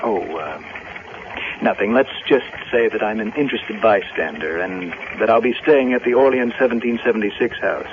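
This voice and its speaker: A man's voice: on the low side, moderate pace, lightly acted. An actor playing a polite, solicitous man with a formal, hesitant manner, in 1970s radio drama style. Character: courteous, solicitous male stranger, quietly knowing. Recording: dull, noisy vintage radio recording.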